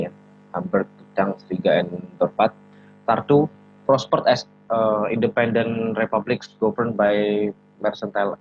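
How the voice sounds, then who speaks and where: A young man with an Indonesian accent reading a class presentation, halting and stumbling over words, asking aloud how to read some of them. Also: on the low side. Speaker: student presenter. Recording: dull lo-fi audio with faint music.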